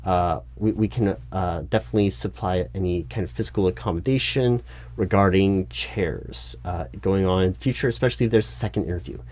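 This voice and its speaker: Man playing a halting, low-voiced HR manager. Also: darkish tone, dry recording, natural voice. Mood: awkwardly accommodating. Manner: halting, stammering, repeating himself, bureaucratic. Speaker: roleplay performer. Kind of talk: one-sided improvised ASMR job interview roleplay, spoken aloud.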